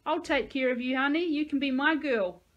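A woman reading aloud and voicing a brutal, swaggering young male gang leader who shouts and taunts. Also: sits high, normal speed, lo-fi sound, voice pushed up, lightly acted. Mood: leering.

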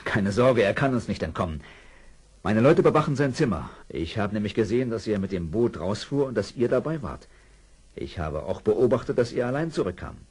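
An actor playing a calm, authoritative undercover policeman in a children's radio play. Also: on the low side, a little fast, husky. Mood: reassuring, firm.

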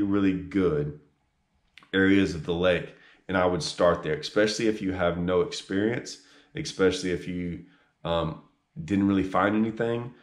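A man, giving earnest, casual fishing advice straight to a camera, with plenty of ums and restarts. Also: sits low. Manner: earnest, repeats himself, many ums and restarts, gestures with hands. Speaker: fishing guide giving a tournament report. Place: small room indoors. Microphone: camera on a tripod a few feet away. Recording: echoey room.

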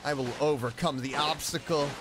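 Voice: medium pitch, steady tone, normal speed, noisy background. A gaming streamer giving casual, playful, reactive commentary and reading dialogue over a game with music behind.

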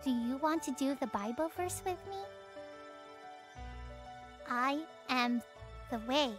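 A childlike voice telling a Bible lesson to young listeners in simple, expressive scripted speech, as the host of a children's show. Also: high-pitched, takes it slowly, over music. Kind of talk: animated scripted storytelling and teaching for children.

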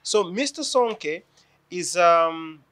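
A man's voice: high-pitched, animated, very slow, fairly bright tone. A man in his forties hosting a TV interview in a living room, playful and teasing, laughing often, on a lapel mic. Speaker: TV show host. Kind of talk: lively TV interview.

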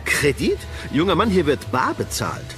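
An actor playing a stiff, courteous old hotel receptionist from the 1940s in a German audio drama, formal and puzzled. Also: male voice, on the high side, huge pitch swings, normal speed, fairly bright tone, faint music behind.

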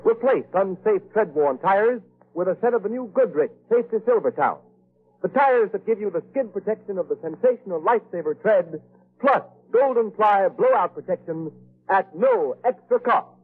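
A man's voice: high-pitched, lively intonation, moderate pace, slightly rough. A man reading a scripted commercial as an announcer in 1930s radio style. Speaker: advertising announcer. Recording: muffled old radio recording.

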